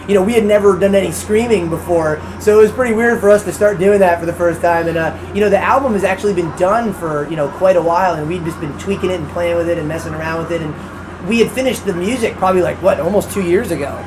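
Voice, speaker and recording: Guy in a band, kinda high voice, talks fast and rambles, noisy background outside. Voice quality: high voice for a man. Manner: fast, rambling, lots of "you know". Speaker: band member. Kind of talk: casual interview chat. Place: outside a music venue.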